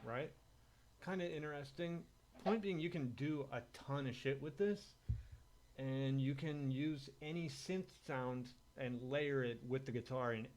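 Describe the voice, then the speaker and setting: A man with an average-pitched voice gives an informal music tech demo. He talks in loose, laid-back bro slang with casual swearing, like a guitarist walking a friend through his sounds. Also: a little mellow.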